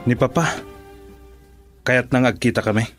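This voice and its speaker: A man's voice: medium pitch, steady tone, moderate pace, over music, lightly acted. A man playing a proud, defiant husband in an Ilocano radio drama. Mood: defiant, irritated.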